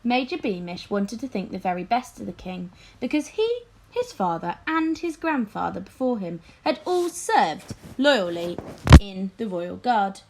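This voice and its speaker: Woman reading a storybook, very animated. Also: mid-range voice, unhurried, poor audio. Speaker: teacher reading aloud to pupils. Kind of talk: expressive storybook narration.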